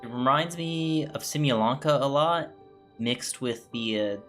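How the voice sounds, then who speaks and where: A man's voice: medium pitch, measured pace, a bit bright. Casual male gaming streamer over game music. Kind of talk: casual let's play commentary.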